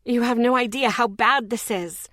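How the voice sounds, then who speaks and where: A woman's voice: a little high, normal speed, no room sound, clean studio sound, lightly acted. A woman playing a frantic, crying young woman. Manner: loud and urgent.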